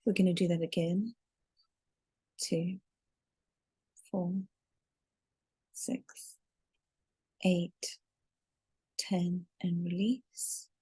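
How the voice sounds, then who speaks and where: A woman leading a guided meditation in a soothing, deliberate way, drawing out her words as she narrates. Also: lowish voice, fairly even intonation, mellow, breathy edge, no room sound, poor audio. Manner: soothing, deliberate, with long drawn-out counting.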